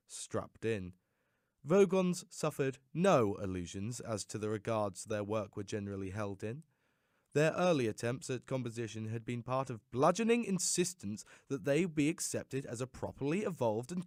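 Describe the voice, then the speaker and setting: A man giving a theatrical, expressive scripted reading of a story as an audiobook narrator. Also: mid-range voice, normal speed, no echo, clean studio sound.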